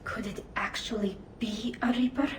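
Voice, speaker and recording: Actress as hushed, echoey female squadmate. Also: medium pitch, fairly even intonation, normal speed, background noise, lightly acted. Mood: grave, musing. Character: grave, pensive female squadmate. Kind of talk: video game voice acting. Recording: echoey, music behind.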